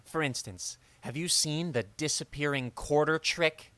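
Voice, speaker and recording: A man's voice: fairly high pitch, unhurried, pushed and bright, dry recording. A man playing an eager, hapless teenage boy in a 1940s-style radio comedy, gabbing earnestly. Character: eager, hapless teenage boy schoolmate, showing off party tricks.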